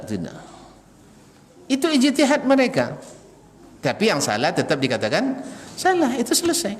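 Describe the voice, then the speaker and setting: Animated male preacher in an echoing hall. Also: on the high side. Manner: animated, rhetorical questions, chuckles at his own examples. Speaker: Islamic preacher and religious teacher. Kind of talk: religious lecture to a congregation, with humorous asides. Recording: reverberant hall, some background noise.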